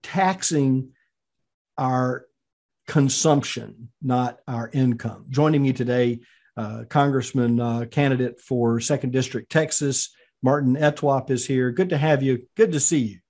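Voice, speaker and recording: A man's voice: medium pitch, steady tone, unhurried, darkish tone. A man hosting an interview podcast, asking long, rambling questions full of fillers and restarts. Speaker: podcast host.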